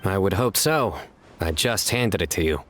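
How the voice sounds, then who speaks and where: A man voicing a serious, composed investigator in a video game, with a measured, deadpan delivery and dry humour. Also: low-pitched, a little hiss or hum. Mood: matter-of-fact.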